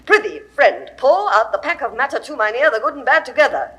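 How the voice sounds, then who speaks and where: A woman's voice: high-pitched, big pitch swings, brisk, pushed and bright, heavily acted. An actress playing an imperious, lovesick Egyptian queen in a classical Shakespeare audio drama, with grand, theatrical delivery. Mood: wary, pleading.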